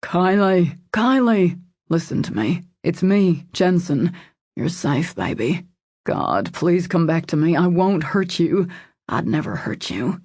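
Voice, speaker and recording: A woman narrator voicing an anguished, protective man who pleads urgently with his lover in a husky, gravelly voice. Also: low-pitched, unhurried, a little mellow, dry recording, studio quality, heavily acted. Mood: worried pleading.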